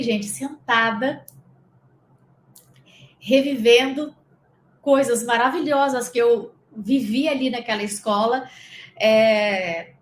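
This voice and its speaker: A woman's voice: fairly high pitch, slight background noise. Brazilian woman talking in an echoey room. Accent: Brazilian Portuguese. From Brazil, interior of Espírito Santo. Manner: conversational, rambling, speaks directly to the listener. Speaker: spiritual coach. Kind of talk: casual guided lesson.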